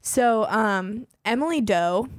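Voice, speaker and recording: A woman's voice: a little high, expressive, slow, no echo. Young woman podcast host, kinda losing her voice, says like a lot, dry close sound. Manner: chatty, peppers speech with "like". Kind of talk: casual true-crime podcast chat.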